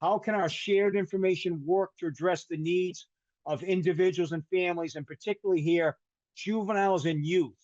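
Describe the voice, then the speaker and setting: A man's voice: high-pitched, normal speed, a little mellow, no echo. An older man with a Boston accent presenting a live webinar, rambling with restarts and a joking tone. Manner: rambling, restarts and self-corrections, jokey. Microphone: video call microphone. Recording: dull webinar call audio.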